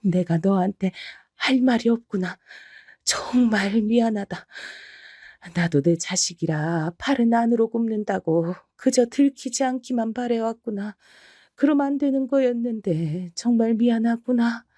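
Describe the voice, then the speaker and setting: Older mother-in-law, guilt-heavy, slow sighing apologies, mellow dry tone, put on by a woman narrator. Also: a little high, no echo. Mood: remorseful.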